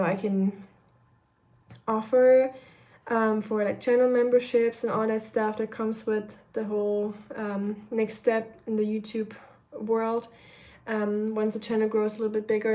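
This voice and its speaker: A woman's voice: mid-range voice, warm tone. A young woman from Germany, chatting casually and rambling with many fillers while cooking on a live stream, her voice a little nasal. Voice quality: slightly nasal. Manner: chatty, rambling, many fillers, reads chat comments aloud.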